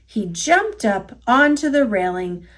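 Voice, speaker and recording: A woman with an American accent reading a children's story aloud in an expressive, deliberate manner. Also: fairly high pitch. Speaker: storybook reader.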